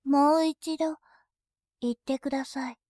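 A woman voicing a composed female bank clerk who speaks in very formal, deliberate language and turns dominating and scornful. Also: high-pitched, fairly even intonation, darkish tone, dry recording, clean studio sound. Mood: polite teasing. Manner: very formal polite speech, slow and deliberate. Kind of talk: adult audio drama acting.

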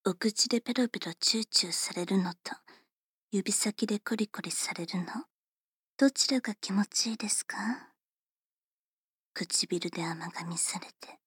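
A woman voicing a polite, teasing female servant who attends a young lady, speaking in formal, unhurried whispers for an ASMR roleplay. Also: mid-range voice, fairly bright tone, breathy edge, no room sound, clean studio sound.

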